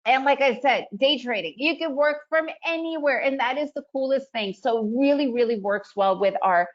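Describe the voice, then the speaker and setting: A woman's voice: sits high, normal speed, highs cut off. A woman from the former Soviet Union, raised in the United States, with Russian as her first language, gives an upbeat trading webinar full of emphatic rhetorical questions. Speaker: trading presenter. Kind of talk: webinar presentation.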